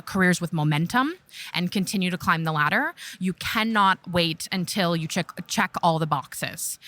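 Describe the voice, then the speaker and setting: Woman, panel guest, forceful bright tone, dry close sound, confident and practical. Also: lowish voice, dry recording. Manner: confident, practical, lists points. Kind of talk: panel discussion.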